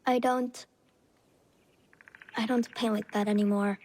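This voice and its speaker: Gentle, earnest young boy, higher-pitched. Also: fairly even intonation, measured pace. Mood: hesitant, anxious. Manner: hesitant, earnest. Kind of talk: video game cutscene acting.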